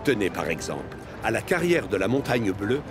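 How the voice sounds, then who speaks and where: A man's voice: lowish voice, very animated, moderate pace. A man narrating a children's train cartoon as a genial storyteller. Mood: genial storytelling. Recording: music behind the voice.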